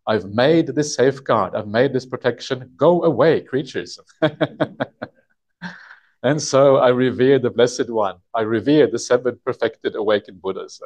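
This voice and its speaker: A middle-aged man, a Buddhist monk, giving a relaxed dharma talk and answering questions, often chuckling and hesitating with many uhs. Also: mid-range voice, unhurried. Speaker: Buddhist monk teaching a sutta retreat. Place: meditation hall with shrine, indoors.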